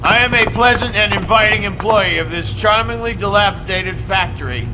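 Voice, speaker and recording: Casting: male actor as disguised game henchman, high-pitched, stiffly over-friendly. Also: normal speed, music in the background, natural voice, rough recording. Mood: fake friendly. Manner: stilted, falsely pleasant. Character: villain's henchman posing as chirpy factory employee.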